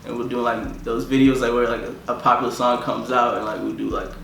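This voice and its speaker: A man's voice: mid-range voice, level tone, fast, gravelly, fairly bright tone, roomy sound, a little hiss or hum. A young man from Tanzania, a musician talking casually in an interview and filling nearly every phrase with "like".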